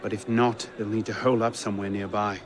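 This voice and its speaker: Man playing a low-voiced, terse former assassin. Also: flat delivery, quick pace, lightly acted. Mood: determined. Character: young male former assassin, wary, determined comrade. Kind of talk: video game cutscene acting. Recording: music under dialogue.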